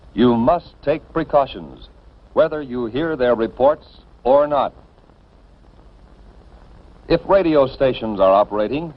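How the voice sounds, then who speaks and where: An American man reading scripted 1950s instructional film narration in an authoritative, deliberate manner, addressing the listener directly and emphatically. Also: mid-range voice. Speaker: narrator of civil defense educational film. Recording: dull band-limited vintage film soundtrack.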